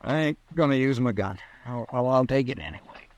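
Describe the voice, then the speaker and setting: A man's voice: medium pitch, a little fast, a little mellow, muffled recording, close to an everyday voice. Man acting a wounded, bitter young-hearted cowboy. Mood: pained, defensive. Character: wounded, defiant, bitter cowboy accused of murder. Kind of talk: old-time radio western acting.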